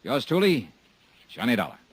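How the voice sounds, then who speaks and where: A man's voice: medium pitch, wildly swooping pitch, moderate pace, very noisy. An actor playing a hard-boiled male insurance investigator who narrates a 1950s radio drama in a dry, tough manner. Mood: narrating. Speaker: narrator and lead.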